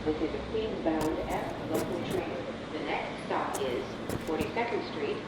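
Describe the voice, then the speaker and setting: A woman playing a measured, formal automated subway announcer, reading out a recorded transit announcement. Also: natural voice. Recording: distant, noisy train car speaker. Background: loud rumbling subway train.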